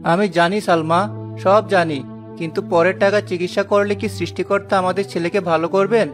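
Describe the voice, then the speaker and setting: A man's voice: high-pitched, fairly even intonation, moderate pace, faint music behind, lightly acted. Man acting an earnest, honest poor porter. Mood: anxious, earnest. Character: poor, honest porter, a devout, steadfast husband and father. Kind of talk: Bengali cartoon moral-tale acting.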